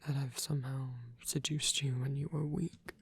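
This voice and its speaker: A man voicing a gentle, protective vampire priest in an ASMR roleplay, speaking softly and breathily in halting, earnest, tender confession. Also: mid-range voice, little pitch movement. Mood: flustered, hesitant. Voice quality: breathy, whispery, soft. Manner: hesitant, halting, earnest delivery. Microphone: close microphone, dry sound.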